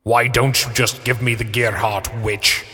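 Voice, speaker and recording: A man voicing a menacing, courtly usurped king who flatters in a smooth, cooing way. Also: medium pitch, a little slow, in a large hall.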